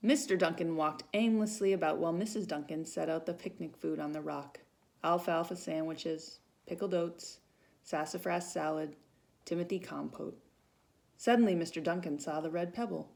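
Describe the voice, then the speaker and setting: A woman with a fairly low, noticeably creaky voice reads a children's picture book aloud. She tells it expressively, leaning on the feelings in the story as a volunteer reader would for young listeners. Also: normal speed, a little mellow. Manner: dramatic emphasis on feelings, with short asides to young listeners.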